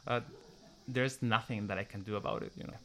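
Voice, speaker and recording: A man's voice: lowish voice, steady tone, a little fast, sharp tone, a little hiss or hum. A man from Chile with a Chilean Spanish accent answering interview questions in English, hesitant and full of fillers, pronouncing each sound with care. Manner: hesitant, many "like" fillers, careful pronunciation. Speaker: interviewee, musician. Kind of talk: casual interview answers and a read passage.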